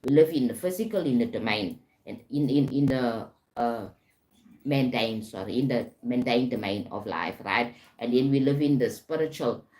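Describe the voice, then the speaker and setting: A woman with a very deep voice, talking casually on a live stream as a spiritual coach, often repeating herself and checking in with "right?". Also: normal speed, darkish tone, rough recording. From South Africa. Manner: repetitive, frequent "right?" check-ins. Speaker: livestream host, spiritual coach.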